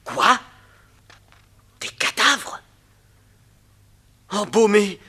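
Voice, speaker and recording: An excitable, doubting sidekick. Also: male voice, high voice, drawn-out words, slightly rough, full character voice. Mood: alarmed. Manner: nervous and incredulous, voice jumps up. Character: excitable, doubting male companion. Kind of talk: 1940s radio acting.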